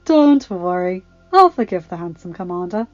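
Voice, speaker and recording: Woman putting on an excitable tiny old enchantress granny. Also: on the low side, normal speed, dry recording, lo-fi sound. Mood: chuckling, indignant. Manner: exclaiming, doting, fussy.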